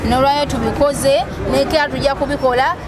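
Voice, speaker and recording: High-voiced woman hosting in a crowd. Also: steady tone, brisk. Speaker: host. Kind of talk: casual street reporting. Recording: loud crowd around.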